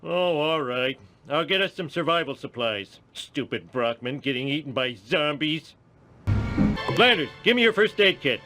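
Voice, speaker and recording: A man's voice: a little high, lively intonation, moderate pace, pushed and bright, faint music behind. A man voicing a dim, brash, selfish middle-aged dad in a cartoon game, blunt and whiny. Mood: indignant. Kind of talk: cartoon game cutscene acting.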